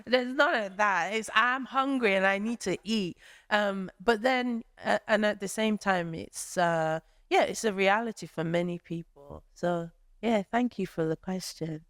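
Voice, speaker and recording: A woman's voice: medium pitch, a little slow, fairly bright tone. A woman from Nigeria who is an artist, answering a workshop question in a thoughtful way with many fillers. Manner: thoughtful, many fillers, acts out friends' voices.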